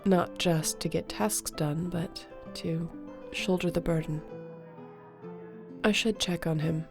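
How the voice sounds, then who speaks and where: A woman voicing a court sorceress in a scripted audio roleplay, speaking gently and conversationally. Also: on the low side, fairly even intonation, slow pace, creaky, music in the background. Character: warm, loyal female court sorceress.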